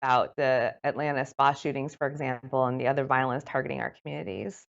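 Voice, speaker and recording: A woman with a notably low voice conducts a live interview. She phrases her questions and comments earnestly and articulately. The sound is a little dull, like streamed audio with the top end softened. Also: steady tone, fast, creaky. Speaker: interviewer, journalist host.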